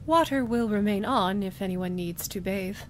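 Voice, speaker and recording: Casting: actress as logical Vulcan female commander, precise and emotionless, sci-fi radio play, rumbly noise behind. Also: normal speed, natural voice. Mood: cool, stern. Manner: formal, precise, unemotional diction. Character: composed, formal, logical Vulcan woman starship commander. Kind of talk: science fiction fan audio drama acting. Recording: clear background noise with low rumble.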